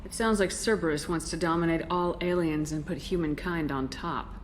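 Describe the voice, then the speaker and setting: A woman's voice: low-pitched, moderate pace, a little hiss or hum, natural voice. A woman playing a firm military commander in a video game, direct and controlled. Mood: businesslike. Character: firm, self-assured female military commander.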